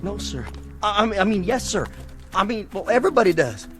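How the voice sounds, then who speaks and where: Nervous Western townsman, twang, high swooping voice, stammering, deferential, music underneath. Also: sing-song, brisk. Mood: frightened, apologetic. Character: jittery, stammering, cowed male Western townsman. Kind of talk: old Western drama acting. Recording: vintage TV drama audio with music.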